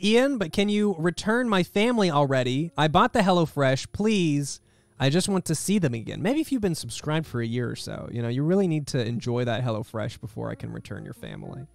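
Wry male streamer with a slightly creaky voice. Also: fairly high pitch, sing-song, brisk, no echo. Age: 30s. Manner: wry, joking. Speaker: video game streamer. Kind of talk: casual chat with live viewers. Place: indoor room at home, streaming setup. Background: faint guitar music.

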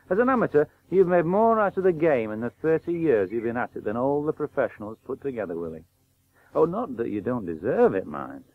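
An actor playing a blunt, bitter working-class old cricket professional in a radio play, plain-spoken and sardonic. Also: male voice, a little high, dry recording. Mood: bitterly needling. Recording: tinny narrow-band 1980s radio broadcast.

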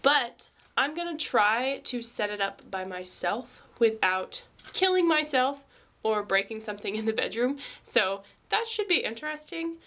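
A woman's voice: on the high side, unhurried. A young woman with a bit of a cold, vlogging chattily to a handheld camera in her bedroom. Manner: chatty, animated, rambling. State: congested from a cold. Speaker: family vlogger. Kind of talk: casual vlog to camera. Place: bedroom at home. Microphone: handheld camera at arm's length.

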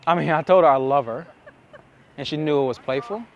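A young man explaining to camera as a dating coach, with emphatic, deliberate phrasing and pauses, recorded outside on a city street. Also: on the high side, muffled recording. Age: young adult. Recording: outdoor city street with traffic noise.

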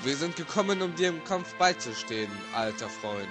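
A man's voice: fairly high pitch, very animated, normal speed. A man playing a loyal young thief and stage actor in an amateur audio drama. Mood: solemn, theatrical. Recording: loud music under the voice.

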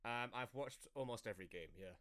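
A man's voice: medium pitch, fairly quick, a bit bright, no echo, clean studio sound. A Scottish man chatting casually and jokingly as a player in an online tabletop game, often laughing at himself. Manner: laughs often, self-deprecating.

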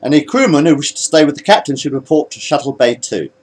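Male alien ship's commander read by a man, defiant and authoritative, clipped military orders, raised lively voice. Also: on the high side, fast talker, breathy edge, voice pushed up. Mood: assertive. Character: blue-skinned alien male first officer, firm, defiant mutineer.

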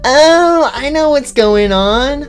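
Girl doing a cheeky dim robot chicken lady, cartoon comedy, super animated, music in the back. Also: sits high, slow pace. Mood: curious, dramatic. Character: dim, food-loving female animatronic chicken, cheeky and excitable. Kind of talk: improvised comedic character roleplay.

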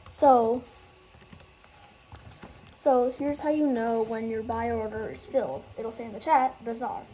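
Woman, higher voice, mellow tone, casual game tutorial, halting, restarts often. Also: faint music behind, poor audio. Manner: halting, loses track and starts over. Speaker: gaming tutorial host.

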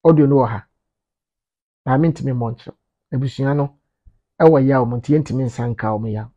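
A man's voice: mid-range voice, normal speed, dark tone, highs cut off. A man in his thirties with a Ghanaian accent, giving informal commentary to camera and emphatically repeating his phrases. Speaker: media commentator. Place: small indoor office.

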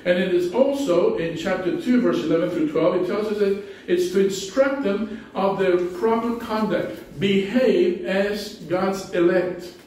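A middle-aged pastor with a Spanish accent and a high voice, preaching in a loose, conversational way with many restarts. He is picked up from a distance in a strongly echoing small church hall, with faint background hiss. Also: measured pace, hardly any pauses, a little mellow. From Spanish-speaking country. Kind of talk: sermon. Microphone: pulpit mic, far camera.

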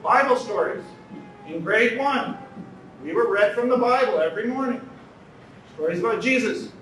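A man's voice: extremely high pitch, expressive, moderate pace, fairly bright tone, a little hiss or hum. A Canadian man from Toronto giving an earnest conference talk at a podium, repeating key lines for emphasis. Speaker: conference speaker. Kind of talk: lecture at a podium. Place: large banquet hall, indoors. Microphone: podium mic from a distance. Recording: echoey hall, mic some distance off.

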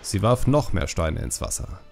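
A man's voice: deep voice, big pitch swings, moderate pace, creaky, a little mellow, soft background music. A man narrating a fantasy audiobook as scripted storytelling.

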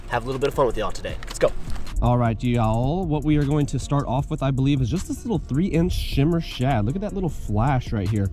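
A man's voice: mid-range voice, lively intonation, fairly quick, warm tone. A man with an American accent hosting a casual outdoor fishing vlog, chatting to viewers in colloquial, folksy slang. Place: outdoors by a pond. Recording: music laid over speech. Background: music.

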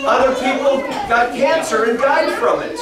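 A man's voice: very high-pitched, moderate pace, distant mic, a loud crowd. Middle-aged man teaching kids in an echoey room. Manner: animated, repeats phrases, talks to kids directly. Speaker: children's church teacher. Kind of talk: children's Bible lesson. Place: large classroom with kids seated. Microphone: far camera, no mic seen.

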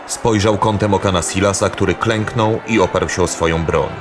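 A male storyteller reads scripted fantasy drama narration. His voice sits low, and strong background music plays under every line. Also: moderate pace.

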